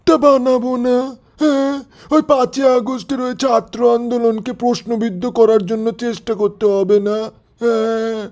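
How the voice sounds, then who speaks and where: A man's voice: very high-pitched, level tone, moderate pace, a little mellow. A man with a Bangladeshi accent playing a shameless, evasive older politician in a satirical phone-call sketch, stubbornly defensive and pleased with himself. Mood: scheming.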